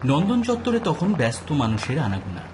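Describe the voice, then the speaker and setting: A man's voice: on the high side, huge pitch swings, fairly quick, faint music behind. A man narrating a scripted detective story as an audio drama narrator.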